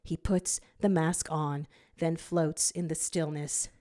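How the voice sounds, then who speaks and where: A woman's voice: deep voice, fairly even intonation, slow pace, dark tone. A woman reading scripted fiction as narrator, with dramatic storytelling delivery.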